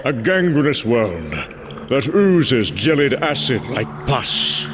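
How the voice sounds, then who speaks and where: A man's voice: mid-range voice, big pitch swings, slow, noisy background. Grave man narrating a dramatic comic. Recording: loud music underneath.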